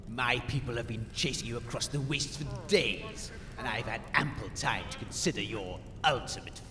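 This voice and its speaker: A man's voice: mid-range voice, normal speed, crowd noise, lightly acted. Man acting a pompous bumbling villain in a cavernous hall. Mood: menacing, gloating. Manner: grandiose, menacing, muttering to himself. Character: pompous bumbling cult villain, theatrical, easily flustered. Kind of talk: comic audio drama acting. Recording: noisy, long cavernous reverb.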